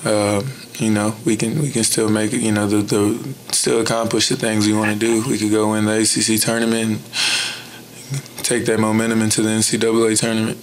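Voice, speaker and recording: A young man and college basketball player answering press conference questions in a measured, blunt way, with many "you know"s, into a table mic in an indoor press room. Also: lowish voice, little pitch movement, slight background noise, rough recording. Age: young adult. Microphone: table mic in front.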